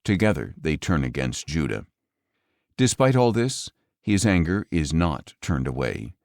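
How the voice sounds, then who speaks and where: A man narrating scripture from a script in a solemn, measured manner, letting phrases slow and fall as they close. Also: a bass voice, big pitch swings, darkish tone, no echo. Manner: solemn, measured, phrases closing on slowed falling cadences. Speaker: audiobook Bible narrator.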